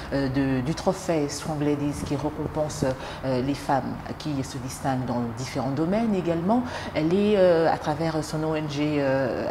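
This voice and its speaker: A woman, speaking as a TV interview host. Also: deep voice. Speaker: TV show host. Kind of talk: interviewing and presenting. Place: on location at guest's premises. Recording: clear background noise.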